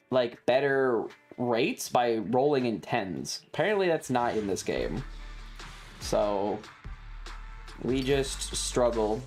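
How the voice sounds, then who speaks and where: A livestreamer chatting casually while gaming, rambling and halting, with a wry, sarcastic manner. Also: medium pitch. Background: music.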